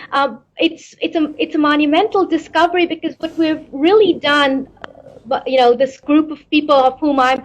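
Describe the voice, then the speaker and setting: High-voiced woman answering with repeated restarts. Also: muffled recording. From Pakistan. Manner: thoughtful, repeats words while searching for them. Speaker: astrophysicist guest. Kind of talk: interview answers.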